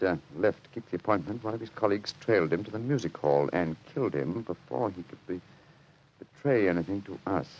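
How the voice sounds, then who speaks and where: An actor playing a confident, analytical English gentleman detective, precise and assured, in 1940s radio mystery style. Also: low-pitched, a little hiss or hum. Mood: reassuring. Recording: muffled old 1940s radio broadcast.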